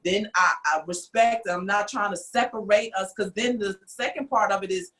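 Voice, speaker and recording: A woman's voice: mid-range voice, moderate pace, fairly bright tone. A woman from the United States hosting a podcast, giving her opinions in an earnest, emphatic way. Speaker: podcast host. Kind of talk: casual podcast discussion.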